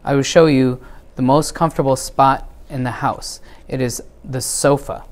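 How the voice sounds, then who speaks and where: A man's voice: medium pitch, steady tone, normal speed, close to an everyday voice. A man voicing a polite, hospitable house mouse in a storybook. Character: hospitable, well-mannered house mouse hosts.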